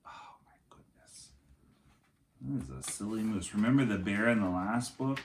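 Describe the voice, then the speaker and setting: A man reading a picture book aloud to young children like a teacher, in an expressive, dramatic way with chatty asides. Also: on the low side, moderate pace, echoey room, a little hiss or hum.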